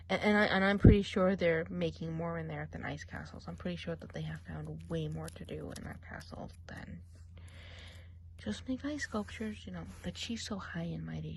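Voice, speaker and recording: A woman playing a gossipy witch of the woods who dips in and out of whispers. She keeps her voice soft and close, as in an ASMR role play, and she draws her instructions out slowly and carefully. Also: on the low side, vocal fry, slight background noise.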